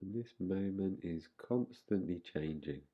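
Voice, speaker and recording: A man guiding a relaxation meditation in an unhurried manner, leaving long pauses between phrases. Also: low voice, muffled recording. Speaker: meditation guide.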